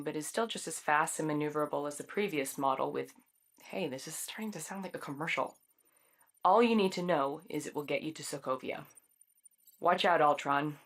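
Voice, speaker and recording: A woman playing an upbeat agent narrating a video game briefing in a wry, chatty way. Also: low-pitched.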